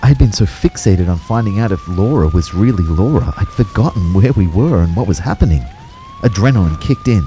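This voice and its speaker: A man's voice: lowish voice, sing-song, a little fast, mellow, faint music behind. A man acting a dazed young man who narrates a disaster in the first person, as dramatic scripted storytelling. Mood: tense, reflective.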